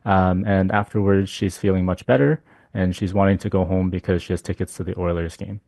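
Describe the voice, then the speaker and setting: Low, mellow-voiced man presenting a case. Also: steady tone, brisk, gravelly, no room sound. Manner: frequent ums and uhs. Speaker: physician speaker.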